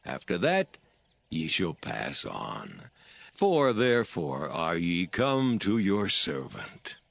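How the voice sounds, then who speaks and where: Man acting old patriarch, humble, earnest, reverent, low-pitched, unhurried delivery, audio scripture drama. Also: huge pitch swings, vocal fry, fairly bright tone, exaggerated voice. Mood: hospitable, eager. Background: music.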